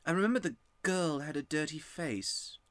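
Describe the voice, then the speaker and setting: A man reading a storybook aloud, voicing a curious, plucky young boy with a brisk, boyish delivery. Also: a little high, fairly bright tone, no echo, pitched above the performer's own voice, lightly acted. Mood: matter-of-fact.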